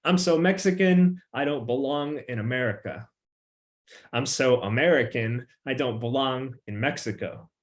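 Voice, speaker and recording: A young man, a featured poet, who talks in a relaxed, loose way with plenty of filler words and reads his poems with an emphatic, rising refrain. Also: mid-range voice, moderate pace. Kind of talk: casual chat and poetry reading.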